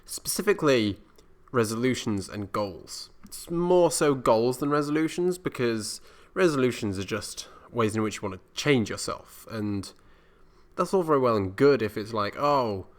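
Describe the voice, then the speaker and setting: A man's voice: medium pitch, lively intonation, moderate pace. A young man talking casually as a vlogger, rambling in a self-deprecating, mildly sweary way. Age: young adult. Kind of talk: casual solo audio diary. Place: indoor university communal area at night.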